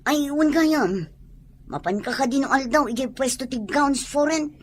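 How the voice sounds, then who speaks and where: A woman's voice: sits high, animated, unhurried, a little hiss or hum. A woman playing an affectionate, hardworking young market porter husband in an audio comedy drama.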